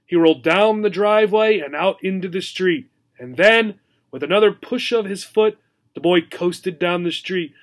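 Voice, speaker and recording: High-voiced man reading a children's story. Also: normal speed. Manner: expressive, explanatory storytelling for learners. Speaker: teacher reading aloud. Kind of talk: children's story read-aloud with simple recap.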